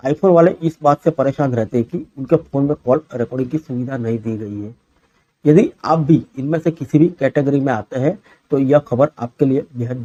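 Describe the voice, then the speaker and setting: A man's voice: mid-range voice, mellow, no room sound, dull audio. A man reads a scripted news bulletin as the presenter.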